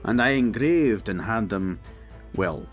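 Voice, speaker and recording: Dwarf king and master smith , played by a man: low-voiced, gruff, blunt and proud, unhurried, fantasy audio drama over music. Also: lively intonation. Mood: proud, matter-of-fact. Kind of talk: fantasy audio roleplay acting.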